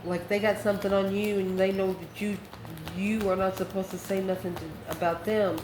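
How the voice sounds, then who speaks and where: A woman of medium pitch gives an off-the-cuff tarot reading to her viewers. She talks conversationally, in short phrases, as if working out each card aloud. The room echoes around her voice, with faint noise underneath. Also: fairly even intonation, normal speed, darkish tone. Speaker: tarot reader, channel host. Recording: roomy echo, faint background noise.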